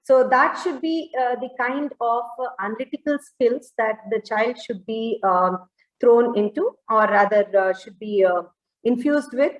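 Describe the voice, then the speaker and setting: Woman, Indian accent, fairly high voice, halting panel talk, self-correcting, roomy echo. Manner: hesitant, halting delivery with frequent self-corrections. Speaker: educator, webinar panelist. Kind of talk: extempore panel talk on education. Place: indoor room, online webinar. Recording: roomy, echoing online call audio.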